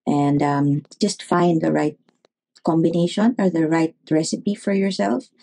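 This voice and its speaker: Low mellow-voiced woman doctor, calm advice, lots of ums and uhs. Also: creaky. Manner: measured, with many fillers and pauses. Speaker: neurologist guest. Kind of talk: advice in an interview.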